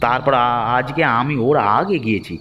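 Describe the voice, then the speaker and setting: A man's voice: medium pitch, moderate pace, pushed and bright, dull audio, natural voice. A man voicing a stubborn, irritable village market vendor in a cartoon, curt and argumentative. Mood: irritated. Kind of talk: cartoon dialogue acting. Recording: long reverb, music behind.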